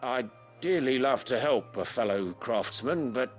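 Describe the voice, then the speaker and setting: A man's voice: mid-range voice, expressive, a little slow, close to an everyday voice. A man playing a humble, talkative leather craftsman in a video game, wry and self-deprecating. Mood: grateful, obliging. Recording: game music under the voice.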